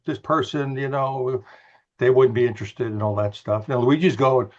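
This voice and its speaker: A man sharing a casual personal testimony as a participant on a team call, pausing as he goes. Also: medium pitch, fairly even intonation, darkish tone. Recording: dull lo-fi video call audio.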